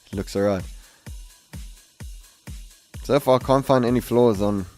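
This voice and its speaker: A man hosting a gaming video with casual, rambling commentary, halting and correcting himself as he goes. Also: mid-range voice, dark tone, soft background music. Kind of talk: casual game showcase commentary. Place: voice-over on game capture.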